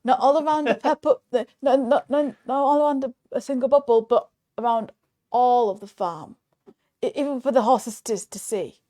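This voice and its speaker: A woman's voice: a little high, moderate pace, dark tone. A woman co-hosting a casual show in a chatty way, hesitant and often stumbling over repeated words. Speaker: show co-host.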